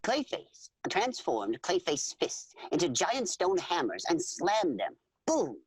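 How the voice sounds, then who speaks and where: A woman performing scripted, dramatic narration for a superhero audio drama, in a husky voice. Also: fairly low pitch, huge pitch swings, takes it slowly, no room sound, full character voice.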